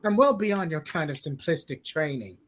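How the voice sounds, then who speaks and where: A man's voice: a little high, lively intonation, moderate pace, a little hiss or hum, lightly acted. Man doing a haughty, sneering boy hero. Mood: contemptuous.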